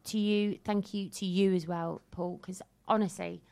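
A woman's voice: fairly low pitch, steady tone, moderate pace, creaky, a little mellow. A woman co-hosting a radio show, speaking frankly and emphatically in casual chat. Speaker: radio co-host. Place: radio studio.

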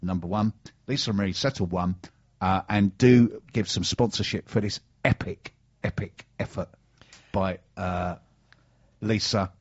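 A man's voice: sits low, unhurried. A middle-aged man with a British accent, hosting a radio show with casual chat and sponsor messages. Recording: dull, band-limited sound.

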